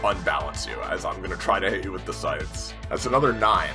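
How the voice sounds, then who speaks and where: A man's voice: sits low, expressive, fairly quick. A man playing a tabletop role-playing game, narrating what his character does in combat. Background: music.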